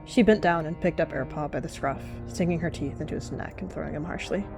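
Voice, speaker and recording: A woman narrates a roleplay story in a fairly low, quiet voice. Her delivery is steady and even. Faint music sits underneath. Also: fast, vocal fry.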